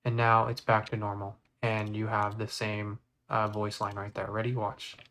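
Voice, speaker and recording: A man's voice: lowish voice, monotone, normal speed, fairly bright tone. A man presenting a casual video editing tutorial, going step by step and thinking aloud as he works. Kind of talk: casual screen-recorded tutorial.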